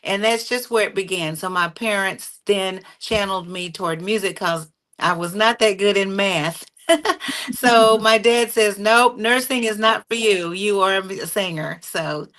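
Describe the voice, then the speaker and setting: Woman guest singer, bright tone, easy anecdotal delivery. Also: medium pitch, measured pace. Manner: warm storytelling with fillers and small laughs. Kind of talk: casual interview conversation.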